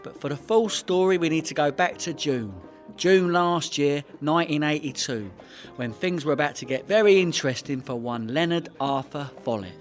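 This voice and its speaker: Cocky cockney chancer played by a man, higher-pitched male, bluffing family man, radio comedy with music behind. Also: moderate pace, lightly acted. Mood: boastful. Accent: London. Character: boastful small-time crook, a hapless cockney husband and father. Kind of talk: comedy radio play acting.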